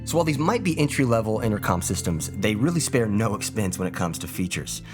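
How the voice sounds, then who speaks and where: A man's voice: lowish voice, sing-song, quick pace. A man in his thirties hosting a scripted, upbeat product review from a small studio. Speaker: video host, product reviewer. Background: background music.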